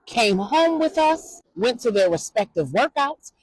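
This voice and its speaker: A woman's voice: a little high, big pitch swings, unhurried, slightly rough, no echo, heavily acted, poor audio. A woman voicing an indignant, protective mother of teenage sons, firm, emphatic and defiant, in a story.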